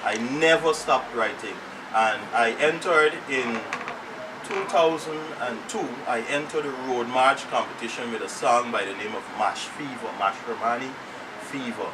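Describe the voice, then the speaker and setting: A man's voice: mid-range voice, moderate pace. A man from Trinidad being interviewed on a TV studio set, talking earnestly and often repeating and restarting his phrases. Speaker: musician guest. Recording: distant mic with noisy studio air.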